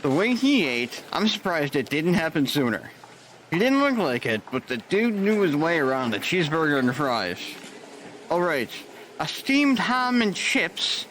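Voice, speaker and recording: A man's voice: fairly high pitch, sing-song, measured pace, a bit bright, slight background noise, low-quality recording. An actor playing an easygoing, wry married male nurse in an audio drama. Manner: laid-back, wry.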